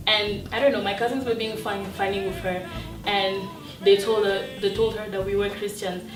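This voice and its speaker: A woman's voice: mid-range voice, steady tone, normal speed. A young woman from Mali giving an earnest personal testimony as a youth speaker. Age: young adult. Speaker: youth conference participant. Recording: echoey room, distant mic, music behind. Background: music.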